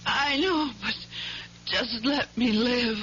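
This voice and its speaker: An actress playing a frightened, gravely ill wife begging to live, breathy and trembling, in a 1970s radio drama. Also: female voice, a little high, takes it slowly, sharp tone. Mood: desperate pleading. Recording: hissy, dull old radio recording with hum.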